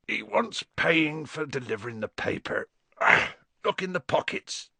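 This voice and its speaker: A man voicing a gruff, good-natured giant from a storybook, proud and loyal, with folksy clipped speech. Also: a little high, big pitch swings, measured pace, sharp tone, no room sound, pitched above the performer's own voice, heavily acted. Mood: sleepy, grumbling. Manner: folksy, clipped casual speech, grunting and sleepy at first.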